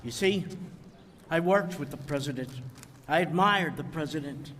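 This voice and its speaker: A man's voice: a little high, fairly bright tone, far from the mic, natural voice. An actor playing a small, insignificant man turned indignant whistleblower, giving a formal, declamatory speech in a game cutscene. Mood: grave, accusing. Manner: oratorical, declamatory, deliberate. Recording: hall reverb, faint background noise.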